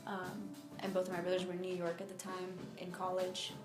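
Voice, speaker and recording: A young adult woman from Miami telling her personal story in an interview, speaking informally and haltingly with lots of filler phrases. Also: lowish voice, completely flat delivery, roomy sound, over music. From United States (Miami, Florida). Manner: informal, halting, frequent "like" and "you know".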